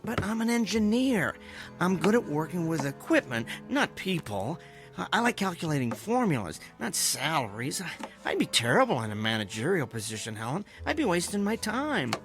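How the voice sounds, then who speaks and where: A man's voice: fairly high pitch, sing-song, moderate pace, fairly bright tone, soft background music. A man playing a meek, henpecked, geeky engineer husband in a radio drama, hesitant, stammering and placating. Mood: earnest, pleading.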